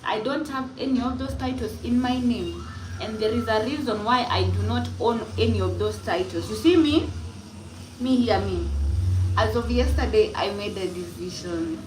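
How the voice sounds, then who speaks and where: Young kenyan woman ranting on a phone live, keeps repeating herself, roomy echo, noisy background. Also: medium pitch. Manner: defiant, emphatic, repeats herself. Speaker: livestreamer. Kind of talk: casual livestream monologue. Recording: echoey room with clear background noise.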